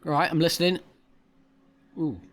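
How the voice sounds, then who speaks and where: A man's voice: a little high, unhurried, fairly bright tone. Casual male let's play commentator. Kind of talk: casual game commentary, reacting and reading text aloud.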